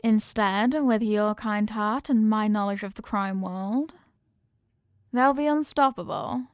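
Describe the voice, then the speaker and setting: A woman's voice: medium pitch, slow, smooth, no echo, clean studio sound, close to an everyday voice. A woman playing a confident mafia boss girlfriend in an intimate romantic audio roleplay, talking tough but tenderly with affectionate slang. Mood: proud, hopeful. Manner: affectionate tough talk with slangy pet names.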